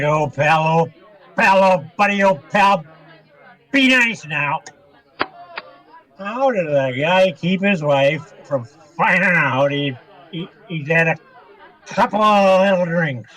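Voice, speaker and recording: A man's voice: high-pitched, bright, forceful tone, heavily acted, poor audio. A man playing a maudlin, over-familiar barfly in a radio comedy, slurring and repeating himself in a drunken ramble. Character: maudlin, happily drunk male barfly pestering strangers.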